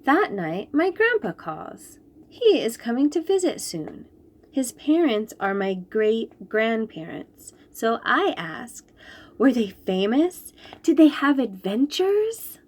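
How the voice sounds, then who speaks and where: A young woman reading a children's picture book aloud, slowly and clearly explaining words. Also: on the high side. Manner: slow, clear and expressive, explaining fancy words.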